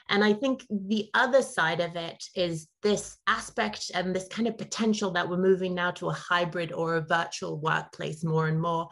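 A woman from Zimbabwe in her thirties, a guest answering interview questions over a video call in an upbeat, articulate, businesslike way. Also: mid-range voice. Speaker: guest, partner account manager. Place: home room, indoors.

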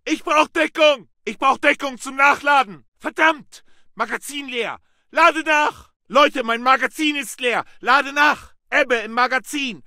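An actor playing an urgent infantry soldier, barking short military orders in a video game. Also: male voice, very high voice, measured pace, pushed and bright, no room sound, clean studio sound, full character voice. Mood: urgent battle alert. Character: German Second World War infantry soldier, male, urgent and commanding. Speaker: soldier character. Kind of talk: shouted video game battle callouts.

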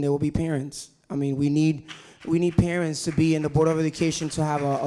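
Young man, flat mellow voice. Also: a little high. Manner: restarting, conversational. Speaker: mayoral candidate. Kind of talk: candidate forum answer. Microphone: handheld microphone.

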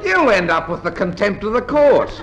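An actor playing a blustering, wisecracking man in a 1950s radio comedy, brash and quick with quips. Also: high-pitched, very animated, muffled recording, crowd noise, noisy background. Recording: 1950s radio recording, audience behind.

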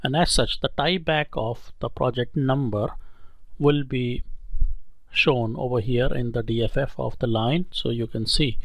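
A male instructor talks through a software tutorial step by step in an explanatory way. His voice sits at a middling pitch with a gravelly fry. The recording is dry and close. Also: measured pace, no room sound.

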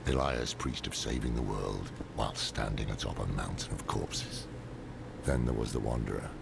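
A weary old demon hunter for a fantasy game. His voice is extremely low and thick with gravel. He speaks gravely and sparingly, over heavy background noise. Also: full character voice. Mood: solemn. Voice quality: very gravelly, rumbling. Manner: grave, deliberate, sparing with words. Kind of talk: dramatic game dialogue and narration.

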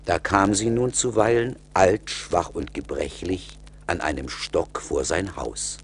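A man narrating a scripted story as a storyteller, measured and deliberate. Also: low voice, husky.

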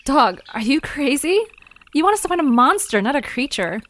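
A woman's voice: high-pitched, big pitch swings, moderate pace, a bit bright. A woman acting a cautious, sarcastic young girl in an audio drama, casual and exasperated. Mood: wary, sarcastic.

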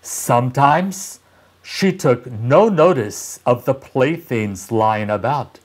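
A man in his sixties reading a children's story aloud expressively, with deliberate pauses and dramatic emphasis. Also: medium pitch, breathy edge. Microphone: lapel mic.

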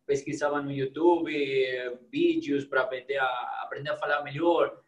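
A young man from Argentina with an Argentine Spanish accent, a guest in a casual interview over a video call, talking warmly and enthusiastically, sometimes pausing to search for words. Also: mid-range voice, steady tone, reverberant, highs cut off. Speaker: guest, psychologist. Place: small indoor room.

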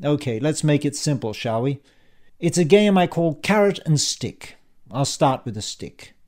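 A man voicing a cheeky male schemer in an audiobook, calm, confident and mockingly polite as he taunts. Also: a little high, unhurried. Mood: calm, taunting. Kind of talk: audiobook character dialogue.

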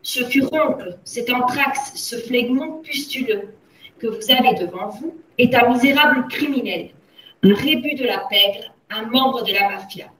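A woman's voice: a little high, lively intonation, normal speed, hardly any pauses, close to an everyday voice. A woman reading a story aloud as a tyrannical headmistress, barking orders and insults in a bullying manner. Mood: furious, accusing. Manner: barks commands and theatrical insults. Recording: roomy echo, distant from mic.

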